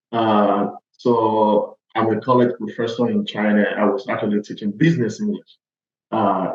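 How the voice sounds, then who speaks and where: Nigerian man in an echoey, slightly muffled room. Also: lowish voice. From Lagos, Nigeria. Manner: emphatic, punctuates points with "okay" and "all right". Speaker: podcast guest, agile transformation consultant. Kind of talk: conversational interview answers.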